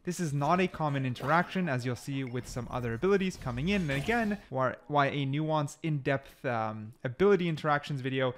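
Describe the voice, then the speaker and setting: A man's voice: mid-range voice, lively intonation, a little fast, few pauses. A man giving casual, chatty explainer commentary over gameplay, talking the viewer through it step by step. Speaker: gaming video host.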